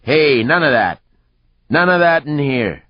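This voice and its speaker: A man voicing an angry, alarmed bartender yelling at brawlers in an audiobook. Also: fairly high pitch, lively intonation, a little slow, dry recording, dull audio, voice pushed up. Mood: alarmed anger.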